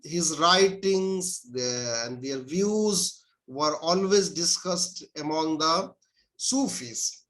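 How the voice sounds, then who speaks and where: A man, an Urdu speaker, answering interview questions as a scholar and pausing often with fillers and restarts. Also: fairly high pitch, a bit bright. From India. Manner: hesitant, many filler sounds and restarts.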